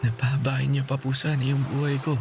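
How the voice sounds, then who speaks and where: An actor with a Filipino accent playing a gentle, brave, sickly young son in a radio drama, speaking respectfully and tenderly. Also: male voice, mid-range voice, little pitch movement, fairly quick, music in the background, natural voice. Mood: pleading, hopeful. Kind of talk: Tagalog radio drama acting. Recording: clearly noisy ambient background.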